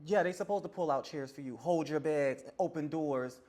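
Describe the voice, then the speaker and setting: A woman's voice: low voice, moderate pace, mellow. A woman over forty telling stories casually and emphatically as a podcast guest, with sassy, slangy phrasing. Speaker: podcast guest, ballroom scene veteran. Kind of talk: casual conversational storytelling.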